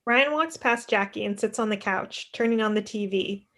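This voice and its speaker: A young woman narrates stage directions from a script. Her voice is bright and crisp-edged, at a middling pitch, heard over a video call. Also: moderate pace. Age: young adult.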